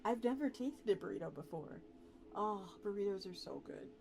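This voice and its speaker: A woman's voice: mid-range voice, lively intonation, normal speed, vocal fry, a little mellow. A woman hosting a live stream, chatting casually with her viewers. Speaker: VTuber streamer, host. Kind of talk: live stream reading a story aloud, casual chat with viewers.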